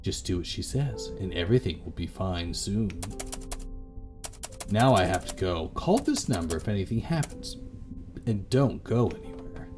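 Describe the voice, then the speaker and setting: A man voicing a creaky old man, calm, insistent and shady, in a visual novel game. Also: low voice, moderate pace, music in the background. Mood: insistent, secretive.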